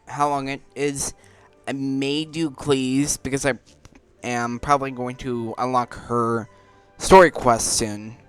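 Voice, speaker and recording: A man's voice: medium pitch, smooth, no echo. A man giving casual game playthrough commentary, thinking aloud as he goes. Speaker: gaming video maker. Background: faint game music.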